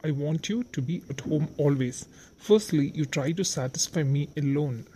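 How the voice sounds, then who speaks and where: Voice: mid-range voice, normal speed, warm tone, a little hiss or hum, natural voice. A performer voicing a firm, protective husband in scripted cartoon story dialogue.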